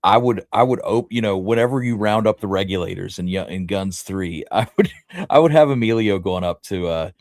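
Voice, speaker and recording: A man's voice: deep voice, fairly quick, darkish tone, dry recording, studio quality. A man co-hosting a history talk show, telling anecdotes in an informal, rambling way. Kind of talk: casual chat with anecdotes.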